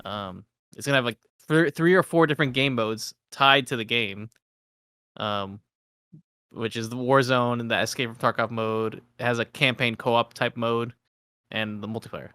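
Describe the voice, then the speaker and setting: A man's voice: mid-range voice, fairly even intonation, normal speed, pushed and bright, clear, resonant tone, no room sound, clean studio sound. A man co-hosting a gaming podcast, explaining things in a casual, rambling way. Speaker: podcast co-host.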